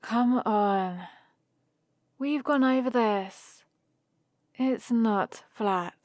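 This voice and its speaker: A woman plays a young adventuring heroine in an ASMR roleplay. She speaks slowly and intimately, close and dry on the mic, with a fond, playful, teasing manner toward her companion. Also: a little high, darkish tone, dry recording. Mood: explaining, amused. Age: young adult. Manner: teasing, unhurried, intimate one-sided conversation. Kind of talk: ASMR roleplay acting, addressed to the listener. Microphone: close studio-style microphone, dry.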